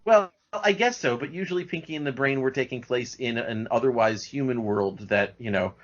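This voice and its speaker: A man's voice: mid-range voice, normal speed. Guy guesting on a cartoon podcast, regular mid voice, muffled recording. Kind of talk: casual chat about cartoons. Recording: dull lo-fi audio.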